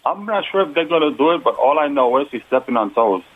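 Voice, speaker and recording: Earnest Puerto Rican-accented candidate, halting, heard over a phone line. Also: male voice, fairly high pitch, lively intonation, breathy edge. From United States. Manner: halting, many fillers, earnest. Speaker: political candidate guest. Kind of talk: phone-in interview.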